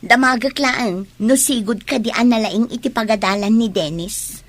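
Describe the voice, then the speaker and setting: A woman's voice: fairly high pitch, normal speed, lightly acted. An actress playing an inquisitive young woman in a radio comedy drama, with an Ilocano Filipino accent. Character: inquisitive young unmarried woman, politely probing. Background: music.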